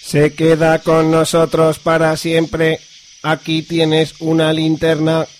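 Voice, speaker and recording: A man playing a curt, pedantic Martian crewman in a comic radio serial, deadpan and robotic in manner. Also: a little high, slow pace, slight background noise.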